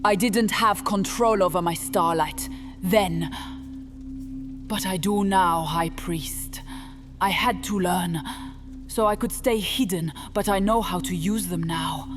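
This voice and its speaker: An actress playing a defiant young witch in an audio horror drama, composed and measured. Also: on the low side. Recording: long reverb, loud music underneath.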